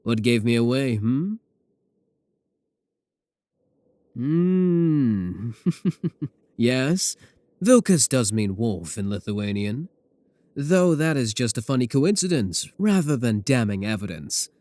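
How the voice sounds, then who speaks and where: A man playing a possessive werewolf professor in an intimate ASMR roleplay, speaking deliberately with coolly affectionate menace. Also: mid-range voice, very animated, no room sound. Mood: amused menace. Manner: slow, deliberate, soft chuckles, pet names. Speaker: voice actor in a roleplay audio.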